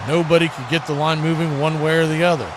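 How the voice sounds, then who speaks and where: A man's voice: a little high, steady tone, fast talker. A man calling live football play-by-play as a radio announcer. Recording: clearly noisy background hiss and ambience.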